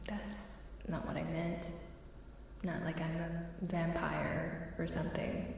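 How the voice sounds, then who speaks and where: A woman talks in a soft, mellow ASMR voice that often slips into whispers. She takes her time, with a playful, coy manner. The sound is heavily echoing and a little distant, with faint ambience behind her. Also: low voice, level tone, slow pace, vocal fry. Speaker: ASMR live streamer. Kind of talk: ASMR casual chat with whispering. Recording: reverberant hall-like echo, faint hiss, distant.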